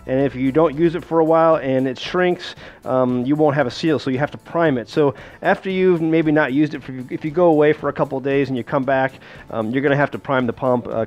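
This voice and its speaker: A man's voice: a little high, a little mellow, lo-fi sound. A man giving a casual how-to demonstration in a plain-spoken, reassuring, repetitive way. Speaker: homesteading host demonstrating a pump. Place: home kitchen.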